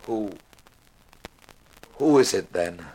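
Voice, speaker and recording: An actor playing an excitable, unheroic suspect in a 1950s radio mystery, speaking in a formal, anxious, questioning way. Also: male voice, sits low, very slow, full character voice, rough recording. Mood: demanding. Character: excitable, unheroic male suspect in a murder house. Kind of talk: 1950s radio drama acting.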